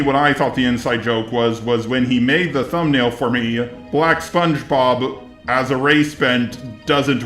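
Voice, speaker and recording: A man's voice: medium pitch, a bit bright, reverberant. A man hosting scripted commentary in a synthetic-sounding voice, argumentative and sarcastic. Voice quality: synthetic robot voice. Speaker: video host. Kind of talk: scripted commentary on online drama.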